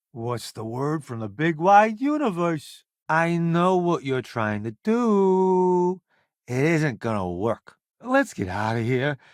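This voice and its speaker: A man's voice: on the high side, no room sound, clean studio sound. A man playing a vain, flamboyant columnist turned space crewmate in a video game, with a wry, theatrical, smug delivery. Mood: firm, wary. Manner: theatrical, wry, smug banter with drawn-out emphasis. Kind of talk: video game companion dialogue.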